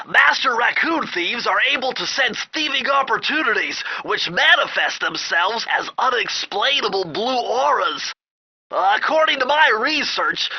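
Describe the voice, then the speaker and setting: A man voicing a nerdy, excitable young turtle gadget expert in a video game, giving precise, earnest mission instructions in a nasal voice. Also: extremely high pitch, husky, full character voice. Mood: instructive, earnest. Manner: precise, bookish, earnest delivery. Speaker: mission guide. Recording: dull, lo-fi game audio.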